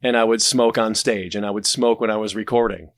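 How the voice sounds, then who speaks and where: A man's voice: on the low side, fairly even intonation, fairly quick, no echo. Man with a smoker's voice, dry close sound. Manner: self-deprecating, wry joking, rambling asides. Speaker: podcast guest, audio drama creator. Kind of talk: casual conversational interview.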